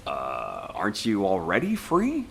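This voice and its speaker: A brash young male squadmate in a sci-fi game. His voice is bright and forceful, swooping in pitch, with a cheeky, teasing delivery. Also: a little slow, gravelly, slight background noise, full character voice. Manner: cheeky, animated. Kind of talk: video game cutscene acting.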